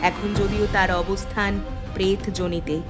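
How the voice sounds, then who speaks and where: A woman's voice: medium pitch, little pitch movement, normal speed, over music, natural voice. Woman voicing an earnest, protective mother. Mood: resolute. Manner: earnest, resolute. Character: anxious, determined, protective mother. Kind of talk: cartoon story dubbing.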